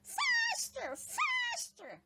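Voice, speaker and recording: A woman voicing small, excitable gnomes and animals from a storybook, exclaiming urgently. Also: very high voice, extremely slow, very noisy, voice pushed up, exaggerated voice. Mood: alarmed, urgent.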